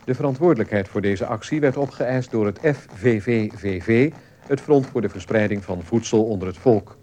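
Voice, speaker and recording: An actor playing a serious male radio newsreader, formal and measured, delivering a scripted bulletin. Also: on the low side, mellow, a little hiss or hum, natural voice.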